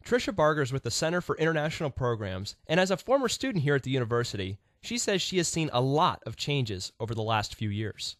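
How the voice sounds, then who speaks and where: A man narrates a documentary from a script, his pitch moving in a lively way. The recording is dry and close, with no room sound. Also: fast, hardly any pauses, dry recording. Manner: even, reading from a script.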